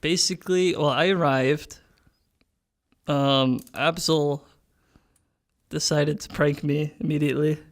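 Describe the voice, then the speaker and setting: Man, fairly high-pitched, casual game recap, halting, jokey, chuckly. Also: steady tone. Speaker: player in a tabletop role-playing podcast.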